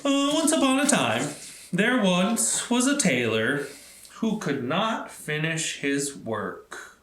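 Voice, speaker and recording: Male children's storyteller, unhurried and expressive, lively pitch swings, simple phrasing, echoey room. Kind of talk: storybook narration and talk to children.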